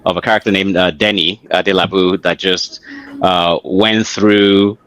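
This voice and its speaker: Deep-voiced middle-aged Nigerian man. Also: steady tone, a little slow, a bit bright, dry recording, low-quality recording. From Lagos, Nigeria. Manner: hesitant, reflective, repeats words as he searches. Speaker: interview guest, author and engineer. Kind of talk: conversational interview storytelling.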